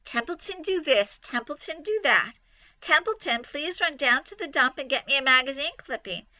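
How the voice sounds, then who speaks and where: A woman's voice: sits high, lively intonation, normal speed, bright, forceful tone, no echo, muffled recording, voice pushed up. A woman voicing a grumpy, sarcastic male rat in a storybook reading, mocking and self-pitying. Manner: sneering, mocking, grumbling.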